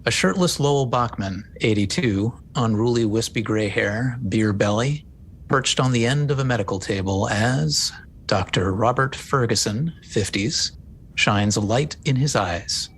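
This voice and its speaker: A man narrating a screenplay, reading scripted stage directions. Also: unhurried. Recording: faint low rumbling noise.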